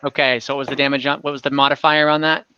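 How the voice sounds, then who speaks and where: A man's voice: medium pitch, little pitch movement, racing, sharp tone, clean tone, no room sound, highs cut off. A man talking casually as dungeon master in an online tabletop game. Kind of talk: casual game-running talk. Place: indoors, online voice call.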